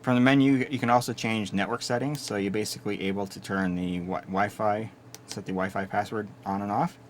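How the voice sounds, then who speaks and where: A man giving a steady, methodical hands-on walkthrough of equipment he is reviewing. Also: on the low side, a little fast, slight background noise. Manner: steady, methodical explaining with small restarts. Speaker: product reviewer.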